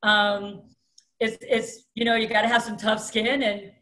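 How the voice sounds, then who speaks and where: A middle-aged woman with a thick New York accent talks plainly and bluntly, a self-styled alpha female. Her voice sits a little high and comes through a webcam in a room at home. The video-call sound is noticeably roomy and echoey. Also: little pitch movement, a little slow, sharp tone. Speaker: high school strength coach, guest. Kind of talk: casual interview talk.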